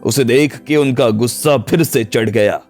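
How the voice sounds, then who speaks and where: A man's voice: mid-range voice, measured pace, darkish tone. A man reading scripted narration for a dramatised audio story. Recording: music bed under the voice.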